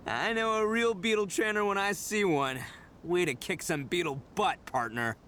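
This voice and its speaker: An actor voicing a brash, boisterous young man who is swaggering and loud about beetle fights, in a video game. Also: sits high, unhurried, a little hiss or hum. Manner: loud, swaggering, big swings of excitement.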